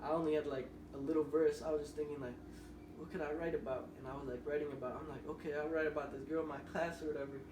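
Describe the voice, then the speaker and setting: A young man, a musician guest on a podcast, telling loose, rambling stories in casual conversation. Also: fairly high pitch. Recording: roomy, distant mic with noise.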